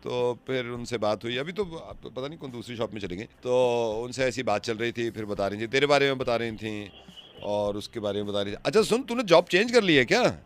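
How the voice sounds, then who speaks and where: A man's voice: medium pitch, lively intonation, fairly quick. A man who hosts prank calls, talking casually and arguing his point insistently. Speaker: prank call radio host. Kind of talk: prank call acting, casual chat.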